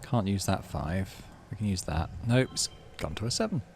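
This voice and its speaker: A man's voice: deep voice, lively intonation, normal speed, a little mellow, slight background noise. A man hosting a playthrough, reading game text aloud with animation and muttering offhand commentary to himself. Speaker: gaming channel host.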